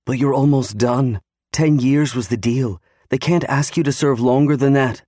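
A man's voice: mid-range voice, normal speed, warm tone, no echo, clean studio sound, pitched above the performer's own voice, lightly acted. A man voicing a distraught, pleading wife of twenty-nine in an audiobook, afraid of losing her husband. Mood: anxious protest. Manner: pleading, protesting. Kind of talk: audiobook character acting.

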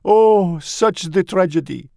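A man's voice: sits high, takes it slowly, creaky, dark tone, dry recording, clean studio sound, voice pushed up, heavily acted. A man voicing a vain, despairing mother mouse with a French accent and a theatrical, self-pitying manner in a storybook reading. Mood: dramatic despair.

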